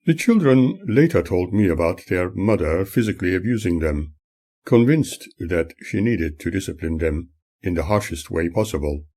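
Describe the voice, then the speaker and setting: An older man reading his own memoir aloud in a measured, earnest way. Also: low voice, lively intonation, dark tone.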